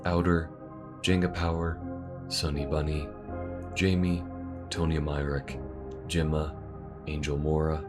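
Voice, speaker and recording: A man reading the outro of his own audio drama, thanking supporters in a soft, polite voice. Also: very deep voice, level tone, unhurried, long pauses, music in the background. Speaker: voice actor and channel host.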